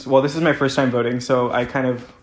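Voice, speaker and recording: A man's voice: mid-range voice, flat delivery, quick pace, reverberant. A twenty-one-year-old man from Sacramento, California, speaking matter-of-factly in an interview. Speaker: first-time voter interviewee.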